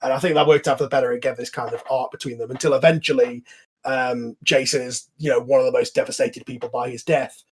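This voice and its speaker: A man's voice: medium pitch, fairly even intonation, quick pace, slightly rough. A man hosting a casual podcast chat over an online voice call, talking in a rambling way. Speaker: host and series creator. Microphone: online group voice call.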